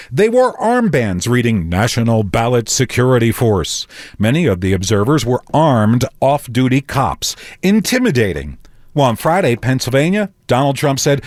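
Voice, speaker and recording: An American man giving a conversational radio news report as a correspondent, with dramatic pauses and emphasis. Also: medium pitch, darkish tone, dry recording, studio quality.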